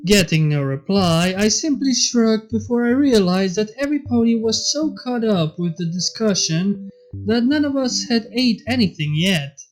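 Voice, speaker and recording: A man's voice: high-pitched, lively intonation, normal speed, faint music behind, rough recording. A man reading fan fiction aloud as scripted story narration.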